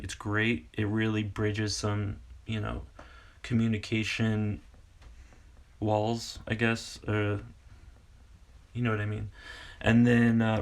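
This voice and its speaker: A man in his forties from Kansas, a teacher casually explaining classroom games in a rambling, halting way full of fillers. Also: lowish voice. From Kansas, United States. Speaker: English teacher and video host. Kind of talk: casual tutorial talk.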